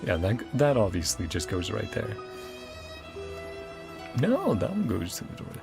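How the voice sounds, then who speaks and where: A man's voice: lowish voice, wildly swooping pitch, unhurried, vocal fry. A man giving casual let's play commentary, thinking aloud and reading game text out, often with a chuckle. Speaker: game streamer. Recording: game music behind voice. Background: video game music.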